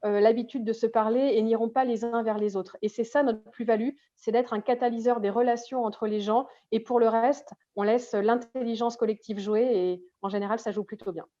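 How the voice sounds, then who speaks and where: A woman's voice: fairly high pitch, fairly even intonation, brisk, hardly any pauses, dark tone, dull audio. A woman speaking as a health agency official, giving an explanatory interview answer.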